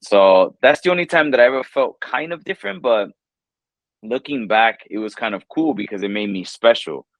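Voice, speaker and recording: A man's voice: mid-range voice, normal speed, dry recording, poor audio. A man in his thirties with a New York accent, an English teacher chatting casually in an interview, rambling with lots of fillers. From New York, USA. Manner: relaxed, rambling, lots of "like" and "you know".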